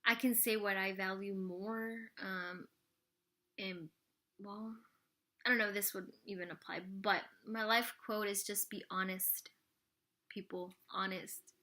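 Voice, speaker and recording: Woman, 30s, vlogger, bright chatty voice, rambling, casual Q&A to camera. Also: mid-range voice, fairly even intonation, a little slow. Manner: rambling, full of "like" and "you know". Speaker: vlogger answering viewer questions.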